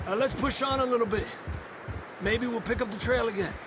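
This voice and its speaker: An actor playing a loyal, earnest Mexican outlaw in a video game, speaking with a Mexican accent in short, encouraging phrases. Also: male voice, very high voice, a little fast, background noise. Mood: focused. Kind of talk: video game cutscene acting.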